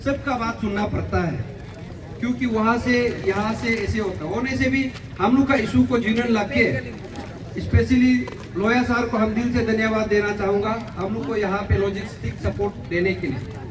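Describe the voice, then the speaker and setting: A man's voice: high voice, fairly even intonation, normal speed, crowd noise. A man with an Arunachali accent giving an earnest, insistent public address at a rally as an activist, often repeating his phrases. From Arunachal Pradesh, India. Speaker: activist speaker at a protest rally. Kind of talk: public address at a rally, Hindi mixed with English. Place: public rally venue, voice picked up from afar. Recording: distant, noisy public address recording.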